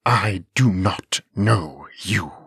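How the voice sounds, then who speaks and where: A man voicing a booming, raspy old dragon. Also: very animated, slow, dry recording, clean studio sound, exaggerated voice. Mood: angry, suspicious. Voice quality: deep booming. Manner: angry clipped staccato. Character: huge ancient male dragon, angry and territorial. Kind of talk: audiobook character voice.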